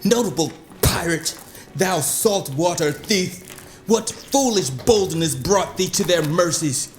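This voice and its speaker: An actor playing a proud, lovesick duke in Shakespearean audio drama, speaking verse in a measured, wounded, theatrical way. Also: male voice, high-pitched, animated, soft background music. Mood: stern.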